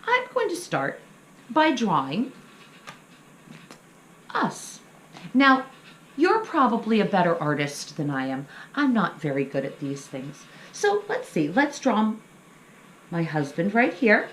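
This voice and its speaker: A woman's voice: mid-range voice, huge pitch swings, slow, pauses often, fairly bright tone. A middle-aged woman hosting a children's craft lesson, explaining things simply and encouragingly to young kids. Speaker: children's story time host. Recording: echoey room with faint background noise.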